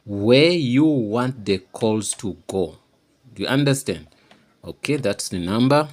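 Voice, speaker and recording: A man giving an informal, unscripted how-to demonstration, halting and repeating himself as he explains each step. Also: mid-range voice, expressive. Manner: halting and repetitive, often checking understanding. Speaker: tutorial presenter.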